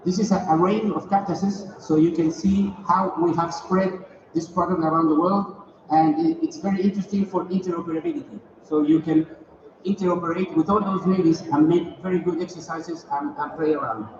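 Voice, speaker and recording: A man's voice: a little high, steady tone, fairly quick. Chilean man presenting from far in a hall. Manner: hesitant, repeats words while explaining slides. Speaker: sonar industry presenter. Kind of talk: conference presentation. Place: large conference hall. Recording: distant echoey hall, dull and noisy.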